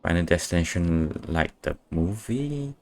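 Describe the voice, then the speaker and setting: A woman streamer reacts casually to a game. Her voice is extremely low and her delivery slow, with short, muttered, skeptical asides. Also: expressive, noisy background.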